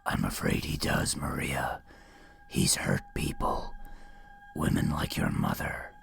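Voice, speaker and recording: A man playing a calm, righteous, menacing masked killer in a horror audio drama, speaking slowly in a breathy, low whisper. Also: heavily acted. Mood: ominous, knowing. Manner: slow, hushed, deliberate.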